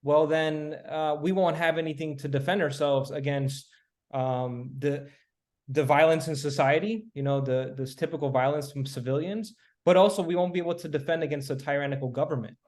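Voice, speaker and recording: Measured man with a higher-pitched voice. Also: a little mellow. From Miami, Florida, US. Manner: measured, reasoned arguing. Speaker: podcast guest. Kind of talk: casual podcast discussion.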